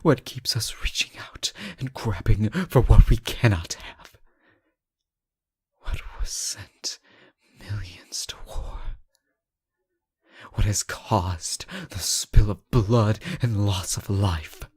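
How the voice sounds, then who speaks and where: A man acting as a captured royal in a romantic audio drama, lovelorn and pleading, with a breathy, halting delivery. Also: lowish voice, a little mellow, no room sound, in a whisper, studio quality, exaggerated voice. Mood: wistful, pained. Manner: halting, pleading, often dropping to breathy murmurs. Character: captured royal ruler, reckless, lovelorn and anguished.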